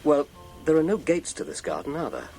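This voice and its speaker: An actor playing a shy, unworldly little priest in a radio drama, speaking in a hesitant, musing way. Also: male voice, a little high, huge pitch swings, a little fast, gravelly, warm tone, soft background music, full character voice. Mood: musing, puzzled. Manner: hesitant, musing, tag questions.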